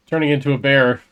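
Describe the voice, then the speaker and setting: A man's voice: medium pitch, fairly bright tone. A man doing casual video game playthrough commentary, muttering to himself as he plays. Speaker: gaming streamer.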